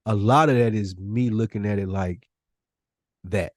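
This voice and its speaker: A man's voice: on the low side, a little slow, a little mellow, dry recording, studio quality. An American man co-hosting a podcast, talking candidly and reflectively about himself in casual conversation. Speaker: podcast co-host.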